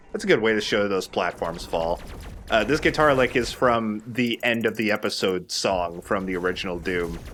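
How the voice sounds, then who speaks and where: Low-pitched man casually commentating a video game. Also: lively intonation, unhurried, a bit bright. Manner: sweary, jokey. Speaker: let's play host, player. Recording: game music behind the voice. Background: video game music.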